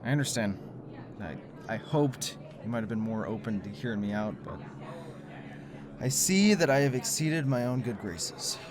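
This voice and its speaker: A man playing an earnest, anxious male conspiracy theorist in an audio drama, halting and stammering when worried. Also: mid-range voice, lively intonation, a crowd in the background, lightly acted. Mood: subdued.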